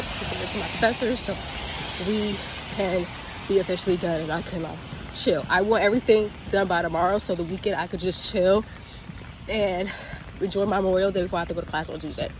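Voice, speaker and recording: A young woman talks casually into her phone while out on city streets, sounding a little congested and exasperated as she complains. Her voice sits at an ordinary middle pitch. Clear traffic and street noise runs behind her. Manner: chatty, exasperated, drawn-out complaints. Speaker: vlogger. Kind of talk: casual vlog to phone camera. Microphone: phone camera.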